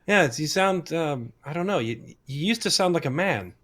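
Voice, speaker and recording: A man's voice: fairly high pitch, lively intonation, normal speed, fairly bright tone. A man playing in a tabletop game, talking casually and hesitantly. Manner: hesitant, giggly. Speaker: player. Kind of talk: casual chat.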